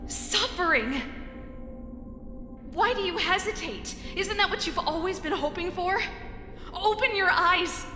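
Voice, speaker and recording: A high-pitched, idealistic young woman of science speaks with dramatic, pleading fervor, as in a game cutscene. Her voice echoes in long reverb, with clear music behind. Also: bright, forceful tone, full character voice. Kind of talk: video game story voice acting.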